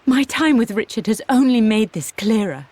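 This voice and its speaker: A woman's voice: on the high side, expressive, moderate pace, a little mellow, no echo. An actress playing a young, strong-willed English noblewoman with a refined English accent, reading a personal journal in a video game. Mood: tender, resolute. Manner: refined, wry. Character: aristocratic young Englishwoman, defiant, wry, in love.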